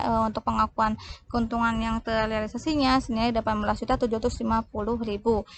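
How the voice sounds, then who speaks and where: A woman's voice: a little high, slight background noise. A woman explaining as an accounting tutor in an informal, conversational way, often posing rhetorical questions.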